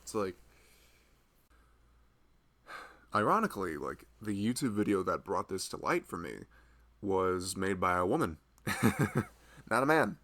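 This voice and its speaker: A man's voice: sits low, very animated, normal speed, a bit bright, heavily acted. A man playing a loving husband who rambles tenderly and a little anxiously in an intimate, conversational ASMR roleplay. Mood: musing, rambling. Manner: rambling, hesitant, conversational, frequent "you know". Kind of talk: intimate ASMR boyfriend roleplay, improvised-sounding chat.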